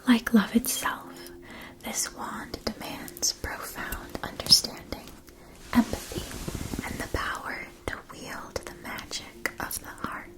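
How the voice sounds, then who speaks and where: A woman in a whispered ASMR roleplay, playing a gentle, solemn seller of magic wands with formal, deliberate phrasing. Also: close to an everyday voice, poor audio. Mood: solemn, reverent. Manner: slow, deliberate, soothing delivery with formal phrasing. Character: gentle, solemn female magic wand seller at witch shop.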